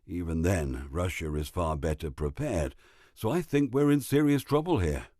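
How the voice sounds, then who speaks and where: A man playing a grave military expert, giving measured, ominous analysis in a mock news drama. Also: low-pitched, expressive, warm tone, no echo, studio quality. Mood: worried.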